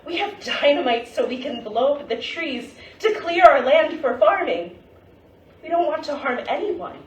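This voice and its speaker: Desperate protective mother, fairly high woman acting. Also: expressive, a little slow. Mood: desperate, demanding. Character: desperate, protective Japanese wartime mother, pleading with authorities. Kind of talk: staged play reading. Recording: roomy theatre, distant mic, faint noise.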